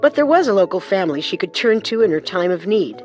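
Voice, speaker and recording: A woman narrating a scripted true-crime story, measured and with storyteller's emphasis. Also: mid-range voice, big pitch swings, darkish tone. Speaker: co-host narrator. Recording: music under the voice.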